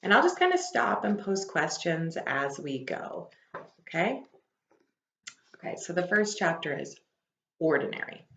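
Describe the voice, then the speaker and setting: A woman's voice: lowish voice, big pitch swings, unhurried, creaky, pauses often, fairly bright tone, slight background noise. A woman teacher reading a book aloud to a class in a conversational, explanatory way. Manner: conversational, explanatory, poses questions to listeners. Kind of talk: read-aloud with asides to students. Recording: roomy echo.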